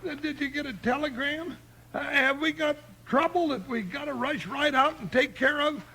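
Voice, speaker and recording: A man playing an excitable, folksy, stammering cowboy sidekick in a 1950s western radio show. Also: very high-pitched, normal speed, exaggerated voice. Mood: eager, excitable. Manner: stammering, folksy frontier talk. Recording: faintly noisy 1950s radio recording.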